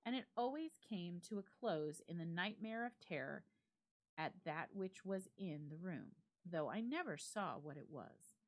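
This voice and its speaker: A woman giving a dramatic reading of a classic ghost story, with measured, expressive phrasing and deliberate pauses. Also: on the low side. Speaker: library staff member reading a story aloud.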